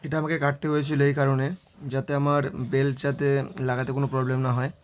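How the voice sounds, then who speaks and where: A man's voice: medium pitch, flat delivery, fast. A man narrating a vlog voice-over, mixing in English words. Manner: mixes English words into speech. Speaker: motorbike vlogger.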